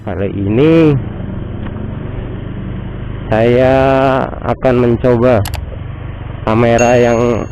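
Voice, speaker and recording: Motovlogger, male, slow easy patter, blocked-up nasal voice, dull action-cam audio. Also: medium pitch. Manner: relaxed, chatty, unhurried. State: nasal from a cold. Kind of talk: casual motovlog chat while riding. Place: on a motorbike in city traffic. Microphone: action camera mic.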